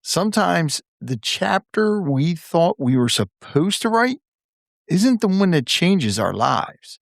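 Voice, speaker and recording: A man's voice: high-pitched, big pitch swings, takes it slowly, vocal fry, dry recording. Enthusiastic male podcast host, dry sound. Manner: eager, enthusiastic, reflective when wrapping up. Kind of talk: interview and closing monologue.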